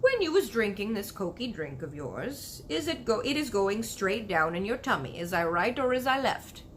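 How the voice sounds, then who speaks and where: A woman voicing a big male storybook giant, excitable, proud and indignant, with muddled grammar and emphatic drawn-out exclamations. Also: mid-range voice, a little hiss or hum. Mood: lecturing.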